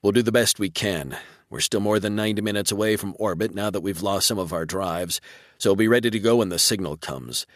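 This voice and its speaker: A man reading as a commanding male starship commodore in a sci-fi audiobook. He speaks low and gives terse, clipped orders with resolve, in a dry sound. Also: brisk, no echo, natural voice. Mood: grimly determined.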